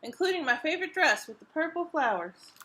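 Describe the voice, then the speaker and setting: Woman storyteller as indignant small-town neighbor in a picture book, complaining, high, bright voice. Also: very animated, a little fast, a little hiss or hum, exaggerated voice.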